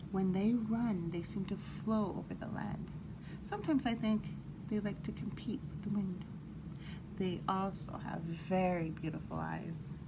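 A woman storyteller reading a children's picture book aloud in an animated, expressive way, with brief asides. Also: medium pitch. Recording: clearly audible background noise.

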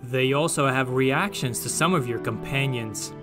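A man's voice: mid-range voice, normal speed. A man reading scripted narration as the narrator of a gaming channel. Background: faint music.

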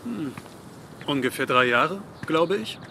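A man's voice: a little high, animated, takes it slowly, sharp tone, slight background noise, exaggerated voice. A man playing an easygoing, caring young man in a video game, talking in a casual, upbeat way. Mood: earnest. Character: easygoing, caring young man, devoted boyfriend and older brother. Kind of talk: naturalistic video game dialogue.

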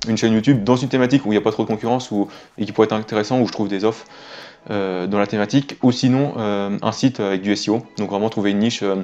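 A man's voice: on the low side, fairly even intonation, fast talker, darkish tone, muffled recording. A man in his twenties chatting casually as an interview guest, informal and rambling. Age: 20s. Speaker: interviewed web entrepreneur. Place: small indoor room with wooden ceiling.

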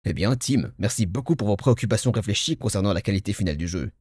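A man playing a pompous, self-satisfied game narrator with formal, measured, grandiloquent delivery. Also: lowish voice, expressive, a little mellow, breathy edge, dry recording, clean studio sound. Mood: reassuring, self-assured. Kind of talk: theatrical scripted game narration in French fan dub.

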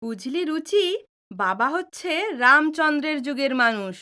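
A woman plays a cheeky young daughter in an audio drama. Her voice is high and bright with bouncy, varied pitch. She speaks in a teasing, headstrong way. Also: animated, normal speed. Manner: teasing, mixes in English.

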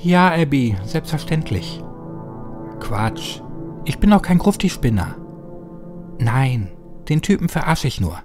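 A man plays a flippant teenage girl from a horror audiobook, chatting slangily on the phone. The voice is raised in pitch and scornful, with music clearly behind it. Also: sing-song, takes it slowly, pauses often. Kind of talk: horror audiobook character reading.

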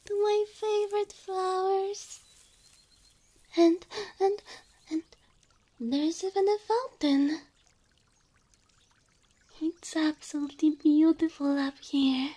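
A gentle cow girl girlfriend played by a woman speaks in a high, mellow voice for an ASMR roleplay. She is breathy, often dropping into a whisper. Her delivery is unhurried, affectionate and easily filled with wonder. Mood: amazed, delighted. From Slavic country. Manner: tender, slow, full of wonder.

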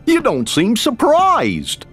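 A man playing a gentle, earnest cartoon dog companion in a video game, with a goofy husky drawl. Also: very high voice, huge pitch swings, slow, soft background music, exaggerated voice. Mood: gentle concern.

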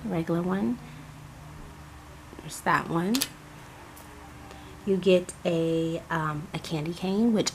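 A woman hosting a casual unboxing vlog, hoarse from a cold, chatty and gushing as she talks to her viewers. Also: fairly low pitch, slight background noise, low-quality recording. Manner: chatty, gushing, addresses viewers as "you guys". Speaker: crafting channel host.

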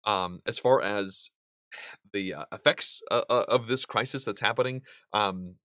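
A man's voice: fairly low pitch, a little slow, no room sound, studio quality. Chatty man answering viewer questions. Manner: relaxed and chatty, many ums and restarts, gestures with hands. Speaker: board game channel host. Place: indoor studio room with a wall of game pieces.

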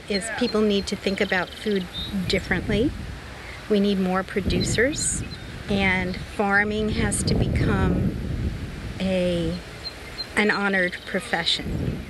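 A woman's voice: mid-range voice, very noisy. A woman giving an explanation in an interview about her work.

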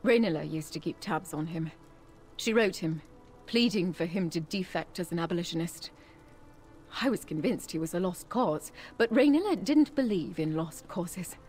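A woman's voice: on the low side, animated, moderate pace, a little mellow, lightly acted. Woman playing a solemn, grieving elf forger. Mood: wary. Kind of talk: fantasy video game dialogue.

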